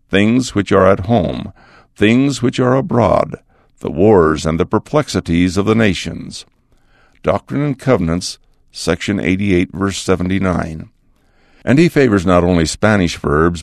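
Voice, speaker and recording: An older man, a church leader, giving a measured, earnest devotional address to students. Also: sits low, vocal fry, darkish tone, no room sound, clean studio sound.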